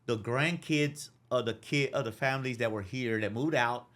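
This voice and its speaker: A middle-aged man from Dallas, a podcast guest talking casually, with lots of restarts. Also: mid-range voice. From Dallas, Texas. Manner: fast, repetitive restarts, animated. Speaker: interview guest. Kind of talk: casual conversation.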